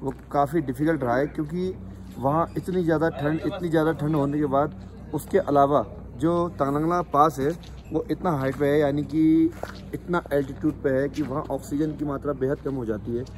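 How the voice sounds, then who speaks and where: A man stands a little away from the phone outdoors, earnestly giving travel advice. His voice is a bit high for a man. Clear street noise runs under his words. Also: steady tone, moderate pace, a little mellow. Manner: earnest, advising caution. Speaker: travel companion. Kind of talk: casual interview answers. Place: outdoors by a mountain roadside.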